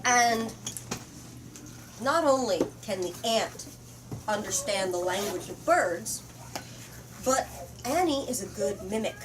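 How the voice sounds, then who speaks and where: A woman reading a story aloud to young listeners in an expressive, measured way, then leading a discussion about it. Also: mid-range voice, recorded from a distance. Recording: noisy room recording.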